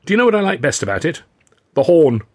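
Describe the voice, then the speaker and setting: A vain film comedian shows off his success, as a male narrator plays him. He is boastful and theatrical, pushing his words with emphasis, and pitched a little above the storyteller in a close, dry audiobook recording. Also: big pitch swings, fast talker, dry recording. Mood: pleased, expansive.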